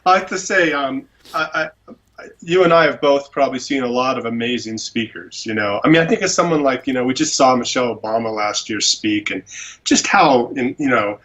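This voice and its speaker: A man's voice: mid-range voice, fairly bright tone. Guest attorney, male, mid-range voice, eager and effusive, heard over a muffled phone connection. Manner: enthusiastic, gushing about a speaker. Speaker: animal rights attorney, guest. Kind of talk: phone interview.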